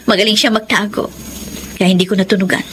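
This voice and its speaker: A woman's voice: mid-range voice, flat delivery, quick pace, a little hiss or hum, natural voice. An actress playing a hurt, resigned wife and mother in a Tagalog radio drama, earnest and sorrowful. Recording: loud music behind the voice.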